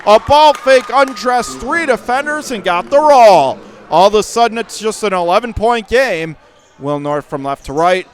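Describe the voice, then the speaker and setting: A man with a Western New York accent calling a high school basketball game live on radio, rattling off plays and rising to shouts on big moments. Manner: rapid calls that surge to excited shouts on big plays. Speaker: radio play-by-play announcer.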